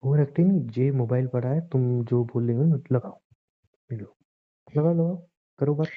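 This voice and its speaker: A man's voice: medium pitch, normal speed, close to an everyday voice. A man plays a bitter husband in a domestic argument drama. He is domineering and sarcastic, pushing with taunts and threats. His voice comes through thin and boxed-in, like a recorded phone call. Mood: threatening, goading.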